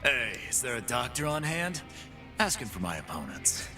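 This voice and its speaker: A man's voice: medium pitch, big pitch swings, normal speed, lightly acted. An actor playing a swaggering, boastful half-beast man who runs fighting pits in a video game, with game music behind him. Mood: cocky. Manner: swaggering, boastful, tough-guy taunts. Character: cocky brawling half-beast male pit boss. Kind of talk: game character voice lines.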